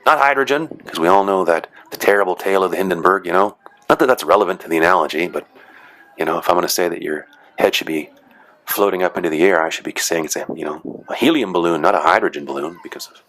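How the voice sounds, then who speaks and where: A man's voice: low-pitched, fast talker, a bit bright. A man casually narrating footage of matches he refereed, chatty and rambling with dry joking asides. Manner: rambling, chatty, frequent "you know" and "uh". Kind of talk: casual commentary.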